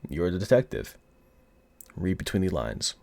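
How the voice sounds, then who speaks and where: Low-voiced, cocky flirty mafia boss played by a man. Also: sing-song, gravelly, darkish tone, dry recording, exaggerated voice. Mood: suggestive, teasing. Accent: put-on mafia gangster accent. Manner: teasing, taunting, drawn-out seductive delivery. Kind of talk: ASMR audio roleplay acting.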